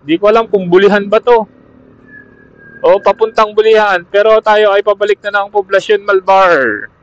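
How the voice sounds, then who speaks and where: A man's voice: high-pitched, moderate pace. A man with a Filipino accent chatting casually as a motovlogger to fellow riders, recorded through a helmet intercom mic while out riding. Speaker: motovlogger reviewing gear. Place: on a motorcycle outdoors on a road. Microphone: helmet intercom used as external camera mic. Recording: dull muffled helmet intercom mic over Bluetooth.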